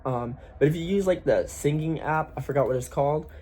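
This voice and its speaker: A young man chatting candidly to camera about his singing plans, talking in a deliberately raised, slightly forced voice, swearing freely and using lots of fillers. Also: fairly high pitch, warm tone, slight background noise. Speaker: aspiring singer vlogger. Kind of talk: casual talk to camera.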